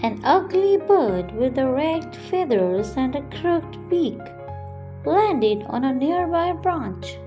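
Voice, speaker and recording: A woman's voice: high-pitched, big pitch swings, takes it slowly, music in the background. A woman narrating a scripted children's bedtime story as a storyteller.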